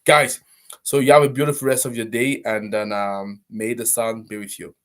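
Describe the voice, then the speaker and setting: Rambling low-voiced man on a live stream. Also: little pitch movement, normal speed, a bit bright. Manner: rambling, with many fillers and restarts; friendly, encouraging, addresses viewers directly. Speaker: host of a live business stream. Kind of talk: casual live-stream talk to viewers.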